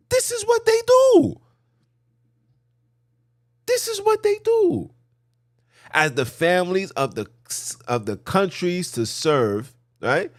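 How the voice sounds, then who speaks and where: A man hosting a podcast, preaching a monologue with animated, emphatic delivery, often jumping high in pitch and swinging between loud and hushed. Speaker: podcast host.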